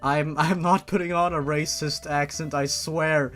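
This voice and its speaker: A man's voice: fairly high pitch, steady tone, unhurried, a bit bright, soft background music. A man hosting a comic fan-fiction reading, narrating theatrically with mocking asides.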